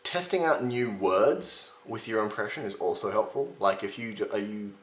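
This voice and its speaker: A man in his twenties giving casual voice-impression tips to a webcam at home, with a slightly gravelly, strained voice and a chatty, self-mocking manner. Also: fairly low pitch, expressive, normal speed, roomy sound. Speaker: voice impression tutor. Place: home room with shelves, indoors. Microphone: webcam at desk distance.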